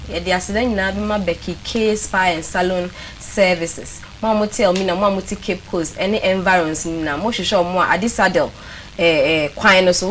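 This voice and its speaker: A Ghanaian woman hosting a studio talk show in a chatty style, with a Ghanaian accent. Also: lowish voice. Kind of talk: studio talk show chat, mixing English with a local language. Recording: clearly noisy studio recording.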